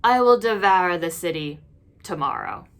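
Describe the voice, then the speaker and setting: A woman's voice: on the low side, animated, normal speed, smooth. A woman voicing a horrible, ancient male monster in a storybook, hungry, boastful and menacing, with growled and groaned words. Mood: threatening.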